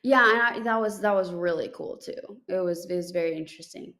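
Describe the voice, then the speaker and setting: A young woman co-hosts a casual podcast and tells a story in a chatty, rambling way, full of "like" and restarts. Her voice sits a little low. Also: fairly quick, fairly bright tone. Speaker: podcast co-host. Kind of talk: casual chat.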